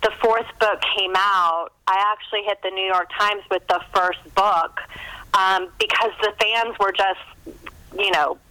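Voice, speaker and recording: A woman with a bright, clear-edged voice speaks as an interview guest. The sound is dry and close, and she talks in a casual storytelling style. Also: medium pitch, fairly even intonation, measured pace, no echo, rough recording.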